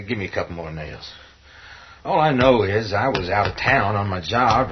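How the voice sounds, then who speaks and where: An actor playing a gruff, resolute middle-aged working man in a horror radio play, speaking in a folksy, commanding way. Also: fairly low pitch. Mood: weary, recounting. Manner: folksy colloquial drawl, commanding, rough-spoken. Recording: dull, noisy lo-fi audio.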